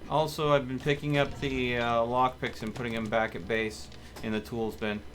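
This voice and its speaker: Male streamer, mid-pitched, casual and playfully joking, talking over faint noise. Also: flat delivery, normal speed, a bit bright. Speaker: game streamer. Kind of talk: casual gaming livestream chat.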